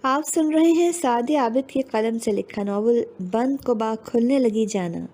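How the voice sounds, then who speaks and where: A woman's voice: on the high side, unhurried, warm tone. A woman reading a scripted channel announcement for an audiobook series.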